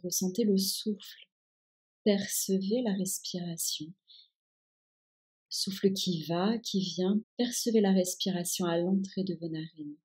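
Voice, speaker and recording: A woman guiding a yoga nidra relaxation with calm, soothing instructions in a soft-edged, breathy voice. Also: fairly low pitch, measured pace. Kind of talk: guided meditation, relaxation instructions.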